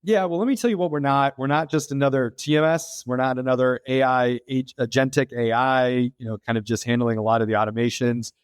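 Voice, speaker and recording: A man's voice: medium pitch, steady tone, a little fast, hardly any pauses, clean tone, no echo, studio quality. A middle-aged American man, a podcast guest, explaining his business in a casual interview with many fillers and restarts. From United States. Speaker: podcast guest, freight tech founder.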